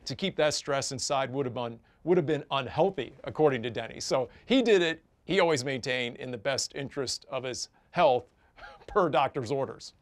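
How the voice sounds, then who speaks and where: A man telling anecdotes in an interview in the polished style of a broadcaster. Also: medium pitch.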